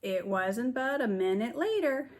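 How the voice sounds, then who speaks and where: A woman's voice: on the high side, expressive, a little slow. A woman kindergarten teacher reading a storybook aloud, explaining things simply for young children.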